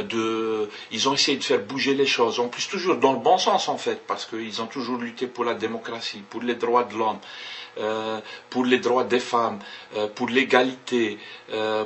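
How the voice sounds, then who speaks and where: A man's voice: medium pitch, fairly bright tone, a little hiss or hum. A man from Kabylie whose first language is Kabyle, giving his opinion to a webcam in a casual video. He talks in an earnest, opinionated way and often stops and restarts while thinking aloud. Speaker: video blogger. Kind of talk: casual opinion monologue to camera.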